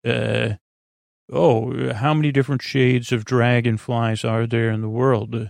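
A man hosts a sleep podcast in a mid-pitched, creaky voice. He wanders through tangents with hesitant pauses and low-pressure reassurance. The sound is dry, with very little room around it. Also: flat delivery, darkish tone, dry recording. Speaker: podcast host. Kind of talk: rambling bedtime sleep podcast monologue.

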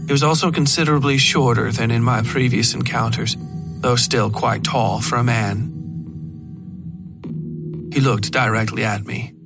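A man's voice: medium pitch, fairly even intonation, moderate pace, long pauses. A man reading scripted first-person horror fiction as a podcast narrator, close to the microphone. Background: faint music.

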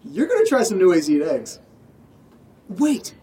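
A man's voice: sits high, very animated, normal speed, mellow. An actor as a chirpy guy in an audio drama, breezy and oddly carefree. He talks like an easygoing housemate. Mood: chirpy, amused. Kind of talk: audio drama acting, naturalistic.

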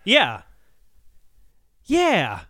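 Bright, high-voiced, animated man. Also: drawn-out words, dry recording, studio quality. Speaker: podcast co-host. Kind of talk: comic song-critique banter.

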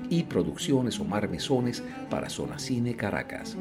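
A man hosting an interview, speaking in a measured way with frequent hesitations. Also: medium pitch, big pitch swings, loud music behind the voice. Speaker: podcast host. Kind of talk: hosted interview.

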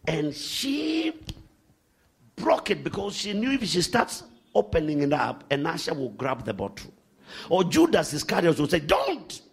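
A man preaching a sermon to a congregation, animated, swinging from shouts to hushed asides. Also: on the high side, unhurried, husky.

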